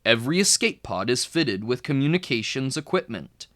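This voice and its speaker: A man with a mid-pitched voice reads aloud in an educational style, like a tutor working through a children's book. His intonation is very animated, rising and falling widely. He phrases things deliberately and leans on the key words. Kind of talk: expressive educational read-aloud narration.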